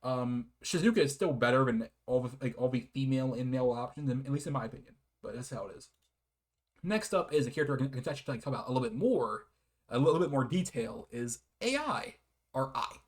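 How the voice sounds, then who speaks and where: A man's voice: medium pitch, lively intonation, fast. A man giving a casual, rambling game review in a hesitant, apologetic manner with frequent giggles.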